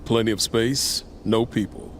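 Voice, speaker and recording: A man's voice: lowish voice, steady tone, unhurried, slight background noise, natural voice. A man playing a loyal, easygoing warrior companion in a game cutscene, talking in brief, casual phrases. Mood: casual, supportive. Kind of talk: dramatic video game cutscene acting.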